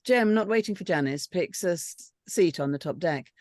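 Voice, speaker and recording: Low-voiced woman reading screenplay directions, dry sound. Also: expressive, normal speed, no room sound. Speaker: script panel reader reading stage directions. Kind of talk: scripted narration.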